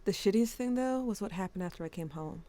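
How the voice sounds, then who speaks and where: A woman's voice: mid-range voice, animated, fast talker, mellow, natural voice. A woman voicing a grieving teenage boy who writes to his dead brother, wry and bitter, with a subdued tone. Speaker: narrator. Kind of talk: audio drama monologue.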